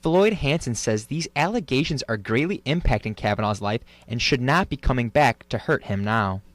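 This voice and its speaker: A man's voice: medium pitch, animated, moderate pace, dry recording. A man working as a television news reporter, delivering scripted reports and stand-ups.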